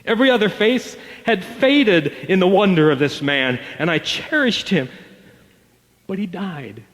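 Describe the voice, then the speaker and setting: A man telling a devotional parable as a dramatic storyteller, theatrical and emotive, with weighty pauses. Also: high-pitched, expressive, fairly bright tone. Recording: long reverb, music bed behind voice. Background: acoustic guitar music.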